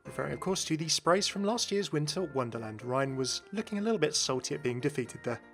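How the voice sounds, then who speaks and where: A man's voice: fairly high pitch, lively intonation, fast, gravelly, a bit bright. A man hosting informal scripted video game commentary. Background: faint background music.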